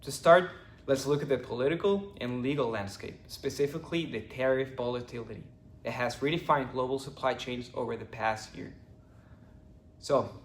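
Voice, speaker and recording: A man's voice: mid-range voice, normal speed, roomy sound, slight background noise. Young man giving a class presentation. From Ecuador. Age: young adult. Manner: steady, prepared delivery with occasional stumbles. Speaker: finance student presenting.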